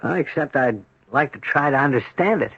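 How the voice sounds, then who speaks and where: An actor playing an earnest, anguished doctor with an agitated, questioning manner in a 1970s radio drama. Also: male voice, mid-range voice, lively intonation, a little fast, fairly bright tone, breathy edge. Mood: troubled, questioning. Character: earnest, anguished male doctor seeking understanding. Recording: muffled old radio recording.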